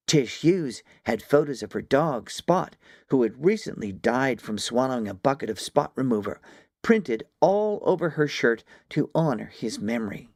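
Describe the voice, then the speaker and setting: A man narrating a children's story from a script, with expressive, deliberate phrasing. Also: mid-range voice, no echo, studio quality. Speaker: audiobook narrator.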